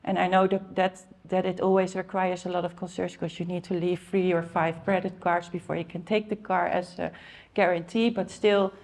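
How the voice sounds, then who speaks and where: A woman's voice: fairly low pitch, level tone, normal speed, darkish tone. A middle-aged woman from the Netherlands with a Dutch accent, giving a conference talk in a conversational way, with many fillers and restarts. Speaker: conference speaker.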